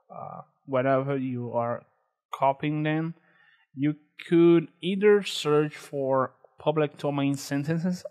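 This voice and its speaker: A young man with a Brazilian Portuguese accent talks through a screen-recorded tutorial at a computer. He speaks in a relaxed, halting way, full of "uh" and restarts, with an ordinary mid-range pitch. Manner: relaxed, hesitant, many fillers and restarts. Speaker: tutorial host.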